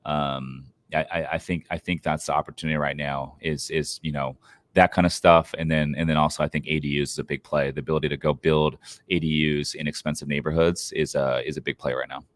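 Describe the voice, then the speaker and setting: Guy with a really deep low voice, dry sound, casual investor talk on a podcast, bit of slang. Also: fairly even intonation, brisk, dry recording. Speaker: podcast guest, real estate investor. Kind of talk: conversational interview answers on investing.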